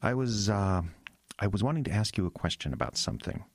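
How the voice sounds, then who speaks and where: An actor playing an earnest, anxious young small-town pastor in an audio drama, halting and self-deprecating. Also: male voice, fairly low pitch, very animated, no room sound, clean studio sound. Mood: nervous, awkward.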